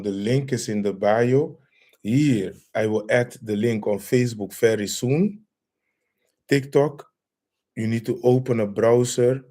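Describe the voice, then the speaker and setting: A man's voice: on the low side, darkish tone. A man hosting a live-streamed lecture, greeting viewers by name and asking where they are watching from. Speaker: livestream host.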